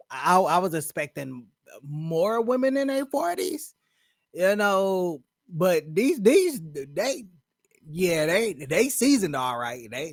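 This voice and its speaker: High-voiced man joking through a reaction. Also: dry recording, studio quality. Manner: loose, joking, slangy. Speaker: reaction video host. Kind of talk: casual reaction commentary.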